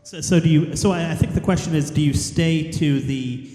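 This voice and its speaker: A man's voice: on the high side, dark tone. Man moderator in an echoey hall. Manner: halting questions with repeated words. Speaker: panel moderator. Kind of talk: moderating a convention panel. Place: large convention hall.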